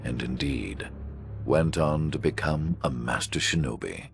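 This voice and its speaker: An actor playing a grave, weary storyteller with a gravelly, raspy voice, narrating a feudal legend in a cinematic game style. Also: male voice, rumbling low, lively intonation, a little slow, over music, heavily acted. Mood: solemn.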